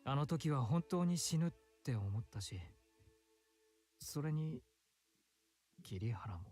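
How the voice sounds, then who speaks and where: A male actor playing a soft-spoken, effeminate, anxious young man in a Japanese visual novel, with feminine phrasing and a hushed, pensive manner. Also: fairly high pitch, expressive, moderate pace, close to an everyday voice. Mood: anxious, wistful. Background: game music.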